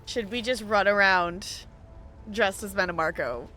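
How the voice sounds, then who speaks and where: A woman's voice: medium pitch, a little slow, sharp tone, faint music behind. A woman streamer chatting playfully and rambling through casual live commentary on a game.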